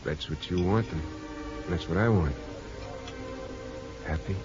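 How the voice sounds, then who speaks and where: A man playing an eager, insecure, devoted young fiancé with an earnest, halting delivery in 1950s radio drama style. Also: sits low, over music. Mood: tender, devoted. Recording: dull 1950s radio recording.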